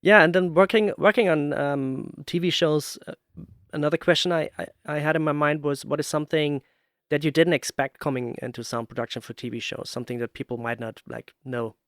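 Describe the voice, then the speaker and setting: A man's voice: on the high side, steady tone, fairly quick, fairly bright tone, no echo, studio quality. A man hosting a podcast interview in a casual, rambling and hesitant but enthusiastic way.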